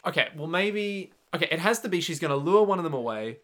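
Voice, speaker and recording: A man's voice: fairly high pitch, lively intonation, fast talker, a bit bright. A man, co-hosting a podcast in casual chat, thinking aloud with playful, rambling guesses and lots of fillers. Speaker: podcast co-host.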